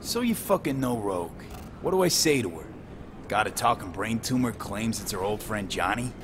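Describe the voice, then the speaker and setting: A man with an American accent playing a gruff, stubborn young mercenary in a video game, speaking in blunt, clipped sentences. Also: fairly low pitch, animated, moderate pace, background noise, natural voice. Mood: irritated, wary. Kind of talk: cinematic video game acting.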